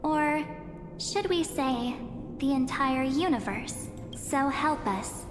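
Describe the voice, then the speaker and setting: An actress playing a mysterious, composed young woman in a video game, speaking in a measured, softly teasing, theatrical way. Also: high voice, animated. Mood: persuasive, coaxing. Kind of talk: scripted video game dialogue. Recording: reverberant game audio with music.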